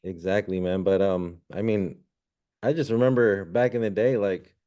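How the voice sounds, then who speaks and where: A man's voice: fairly low pitch, steady tone, moderate pace, mellow, muffled recording. A middle-aged man who was born in Zambia and raised in Washington state, telling stories in a laid-back, slangy way. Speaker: guest musician and restaurant owner. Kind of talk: casual storytelling.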